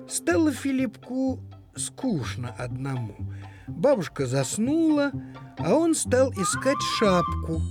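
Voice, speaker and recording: A woman narrating a children's story in an expressive, dramatic storytelling manner. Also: fairly low pitch. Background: music.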